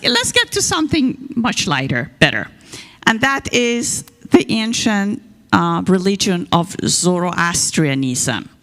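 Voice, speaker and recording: A woman's voice: on the high side, fairly bright tone, in a large hall. A woman with an Iranian accent speaks into a microphone at a church service. She talks to the congregation about religion in a light, joking and self-deprecating way. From Iran. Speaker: guest speaker.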